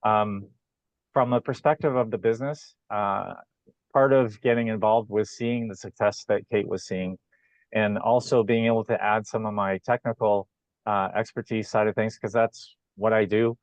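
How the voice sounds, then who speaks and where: A man speaking in a measured, hesitant way with many fillers, joining a video call to talk about business. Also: lowish voice, steady tone, no echo. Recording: dull lo-fi video call audio.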